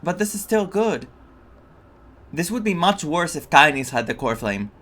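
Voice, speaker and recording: A man's voice: on the high side, big pitch swings, normal speed, fairly bright tone. A man giving casual reaction commentary as a gaming streamer, repeating himself with theatrical exasperation. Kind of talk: casual reaction commentary over a story game.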